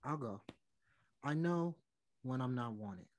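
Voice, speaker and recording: Earnest, pleading male pop singer played by a man for a stage-play reading. Medium pitch, hesitant, apologetic. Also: expressive, slow pace. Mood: wistful. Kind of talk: online one-act play table read. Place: room at home, video call.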